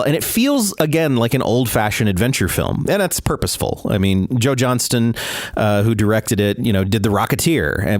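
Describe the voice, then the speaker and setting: A man co-hosting a podcast and chatting about movies, his pitch swinging in a lively way. He is enthusiastic and stumbles over his words with plenty of "you know" and "like". The sound is dry and close, with no room around it. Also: dry recording. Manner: animated, enthusiastic, many fillers and restarts. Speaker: podcast co-host. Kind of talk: casual chat about films.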